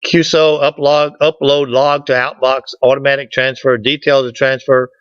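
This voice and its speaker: A man presents slides in a casual, folksy way and jokes with the people listening. His voice sits at a middling pitch. The recording is dull and dry, with little echo. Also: level tone, moderate pace, fairly bright tone, clean tone. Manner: folksy, joking with the audience. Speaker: club presenter. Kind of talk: informal slide presentation with audience back-and-forth. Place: meeting room, standing at a lectern indoors.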